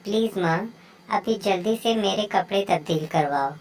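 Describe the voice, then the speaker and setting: A woman's voice: mid-range voice, normal speed, darkish tone, lightly acted, rough recording. Woman voicing eager young cartoon bird child. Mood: apologetic. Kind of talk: children's moral story cartoon dubbing.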